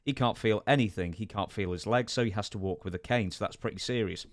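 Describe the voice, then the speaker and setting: Low-voiced male podcast host, dry sound. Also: fast talker, no echo. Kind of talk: interviewing, reading out wrestling news.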